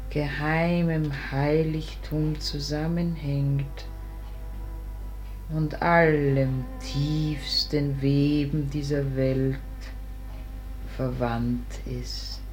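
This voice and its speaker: An actress playing a sorrowful, lonely mother in a solemn verse radio drama, reciting in a hushed, brooding way. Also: sits low. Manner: slow, hushed, poetic recitation fading into breathy whispers.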